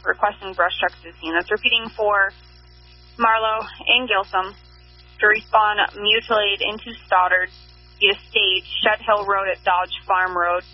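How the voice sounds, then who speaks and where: A woman's voice: medium pitch, steady tone, measured pace, soft background music. A woman working as a fire dispatcher, reading back units and times formally over a two-way radio. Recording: muffled, husky dispatch radio audio with hum.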